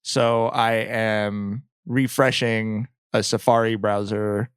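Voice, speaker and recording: A man's voice: lowish voice, little pitch movement, slow pace, smooth, no echo, clean studio sound. A man hosting a podcast in casual, comedic chat, with wry and profane humour. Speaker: podcast host.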